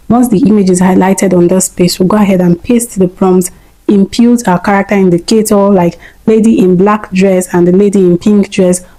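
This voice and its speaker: A woman hosting a screen-recorded software tutorial, calmly explaining each step in order. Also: medium pitch, rough recording. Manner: calm, step-by-step instructing. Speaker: YouTube tutorial host.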